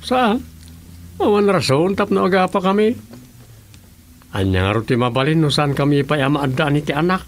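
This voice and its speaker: A man's voice: on the high side, sing-song, moderate pace, pauses often, a little hiss or hum. A man playing a chummy village brother-in-law in an Ilocano comedy radio drama, with an Ilocano accent.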